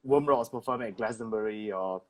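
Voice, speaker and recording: A man's voice: on the low side, fast. Rambling man hosting a casual interview. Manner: rambling, many "like" fillers. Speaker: host.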